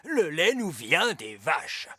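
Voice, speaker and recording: A woman's voice: sits low, very animated, slow, a bit bright, breathy edge, no echo, exaggerated voice. A woman voicing a fussy, pedantic old male elf who acts like a schoolmaster in a French-dubbed children's cartoon. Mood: instructive. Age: older.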